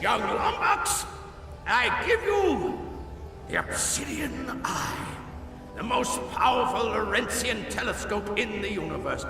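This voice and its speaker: An actor playing a grandiose, eager island leader with a theatrical, ceremonious manner in an animated video game. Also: male voice, high voice, slow, gravelly, full character voice. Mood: grand. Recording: echoey hall, distant, music behind.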